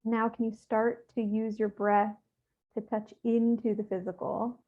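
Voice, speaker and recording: A woman leading a guided meditation, speaking gently and without hurry, with soothing instructions and pauses. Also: fairly high pitch, little pitch movement. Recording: thin, phone-like narrow-band audio.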